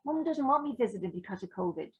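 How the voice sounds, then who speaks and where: A woman's voice: medium pitch, big pitch swings, fast, a little mellow, slightly rough, muffled recording. A woman reading the lines of an awkward teenage grandson in her own voice.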